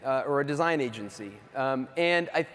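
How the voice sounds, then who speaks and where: A man's voice: mid-range voice, normal speed, a bit bright. Chatty man on a reverberant stage. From US East Coast. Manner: chatty, self-deprecating, many fillers. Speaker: keynote speaker. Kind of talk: casual conference keynote. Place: large hall stage. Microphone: handheld or stage mic. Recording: reverberant hall.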